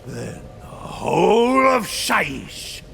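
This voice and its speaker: Creaking, imperious undead spirit commander. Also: male voice, sits high, sing-song, exaggerated voice. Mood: menacing, imperious. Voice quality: gravelly, creaking, hissing. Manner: slow, grandiose, menacing, swinging from whisper to shout. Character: imperious undead Nighthaunt spirit commander, cruel, disgusted by the living. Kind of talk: theatrical video game cutscene acting.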